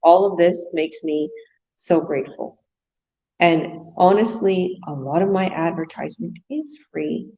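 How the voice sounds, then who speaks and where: Brief: low-pitched woman from Canada, chatty live-stream host who gushes and laughs, dull lo-fi audio. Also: animated, measured pace, mellow. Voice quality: laughs often, gushing. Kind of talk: casual live stream chat.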